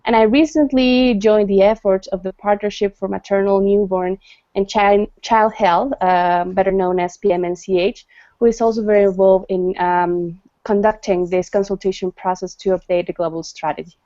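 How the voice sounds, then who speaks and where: A woman's voice: mid-range voice, steady tone, moderate pace, warm tone, dry recording. A woman from Mexico introducing herself as a youth organization director on a video call, polite with some fillers. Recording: dry muffled video call audio.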